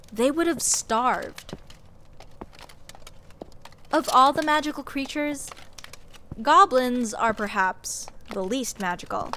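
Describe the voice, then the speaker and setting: A woman's voice: fairly high pitch, fairly bright tone. A woman playing a snarky, nitpicking sorceress in a comedic fantasy audio roleplay, sarcastic and exasperated as she rambles. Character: snarky, nitpicking sorceress adventurer, scoffing at absurdity. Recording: clear background ambience noise, clicks. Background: dungeon ambience.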